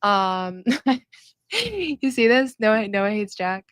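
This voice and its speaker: A woman's voice: medium pitch, big pitch swings, very slow, a bit bright, no echo, clean studio sound. A woman hosting a casual postgame show, chatty and rambling with frequent fillers. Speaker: host. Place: arena concourse at a summer league event.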